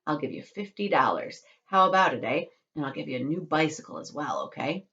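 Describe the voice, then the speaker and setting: A woman's voice: low voice, big pitch swings, a little fast, fairly bright tone, highs cut off, full character voice. A woman voicing a sly tall man who bargains in a wheedling whisper.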